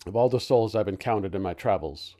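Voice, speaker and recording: Casting: man playing grieving starship captain, low register, formal and deliberate, theatrical eulogy delivery. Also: warm tone, lightly acted. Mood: solemn, grieving. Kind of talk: solemn theatrical monologue.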